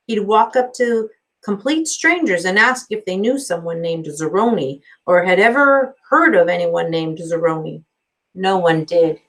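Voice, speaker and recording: A woman's voice: medium pitch, low-quality recording. Woman reading a story aloud expressively. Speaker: teacher reading a book aloud.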